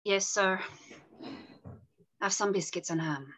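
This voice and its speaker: A woman's voice: medium pitch, takes it slowly, fairly bright tone. A woman voicing a wary, blunt enslaved woman of twenty in the old South, with folksy grammar, at a script reading. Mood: meek. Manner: folksy dropped words, grumbling. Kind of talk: script table read.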